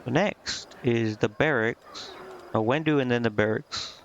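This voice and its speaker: A man's voice: medium pitch, measured pace, a little hiss or hum. Hesitant man streaming a game, slightly dull mic. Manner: hesitant, rambling, muttering to himself. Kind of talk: casual gameplay commentary, reading game dialogue aloud.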